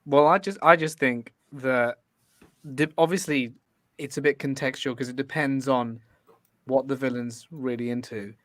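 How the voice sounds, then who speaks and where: A man's voice: medium pitch, fairly even intonation, moderate pace, a bit bright, no echo. Hesitant man rambling with fillers, dry sound. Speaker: podcast guest. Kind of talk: casual debate argument.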